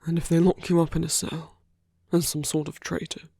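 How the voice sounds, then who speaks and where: An actor playing a brooding, protective male villain in love with his enemy, in an intimate audio roleplay with a breathy voice that often drops toward a whisper. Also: on the high side, little pitch movement, normal speed, dark tone, no echo, clean studio sound. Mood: earnest, resigned. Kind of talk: ASMR roleplay audio drama, intimate monologue.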